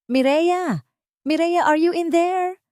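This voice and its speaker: A woman's voice: very high-pitched, slow pace, a little mellow, no room sound, clean studio sound, voice pushed up. A woman voicing an angry, suspicious, controlling husband who speaks in a demanding, accusing way in an audio story.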